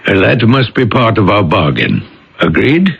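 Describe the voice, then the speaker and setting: An actor playing a suave, probing aristocratic count in a 1980s radio drama, speaking in a courtly, deliberate way with hesitant pauses. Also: male voice, on the low side, very animated, creaky, slightly rough, full character voice. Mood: guarded, bargaining. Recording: dull radio broadcast recording.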